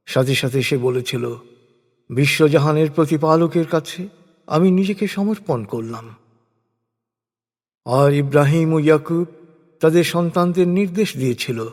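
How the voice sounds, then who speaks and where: A man with a fairly high voice reads sacred text very slowly and solemnly. Each phrase is deliberate and measured, carried on a long reverb. The delivery is a scripted religious recitation. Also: darkish tone.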